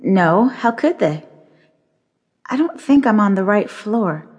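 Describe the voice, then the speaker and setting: An actress playing a polite, uneasy woman shopper in a radio drama, expressive and hesitant as she questions things. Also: medium pitch, takes it slowly, breathy edge, full character voice. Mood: hesitant, curious. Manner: expressive, hesitant, increasingly puzzled and insistent.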